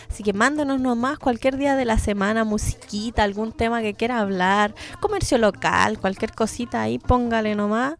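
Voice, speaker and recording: A woman with a Chilean accent chatting informally and with plenty of slang as a community radio host. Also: a little high. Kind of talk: casual radio chat.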